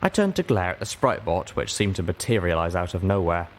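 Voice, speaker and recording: A man with a fairly low voice narrates a story. He reads scripted prose in the style of an audiobook. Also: very animated, a little fast, a little hiss or hum.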